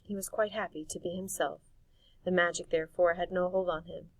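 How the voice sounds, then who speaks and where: A woman reading a storybook aloud as an audiobook narrator, in an expressive storytelling style. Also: fairly low pitch, brisk, no room sound, far from the mic, rough recording. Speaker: audiobook reader.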